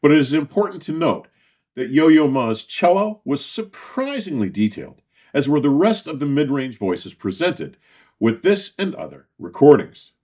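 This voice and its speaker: A man hosting a scripted product review, speaking formally in measured, emphatic phrases with deliberate pauses. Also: on the high side, sing-song, darkish tone. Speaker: host and audio equipment reviewer.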